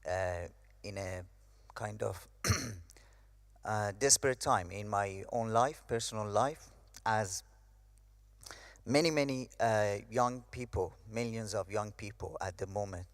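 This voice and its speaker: A man's voice: lowish voice, slow, dry recording, clean studio sound. A young man from Iran giving a hesitant personal testimony, with many fillers. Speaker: speaker sharing his story.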